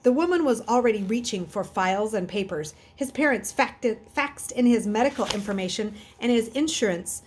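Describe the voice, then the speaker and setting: A woman reading a children's novel aloud in an expressive way, occasionally stumbling and correcting herself. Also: a little high, fairly quick, few pauses, a little hiss or hum. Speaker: reader.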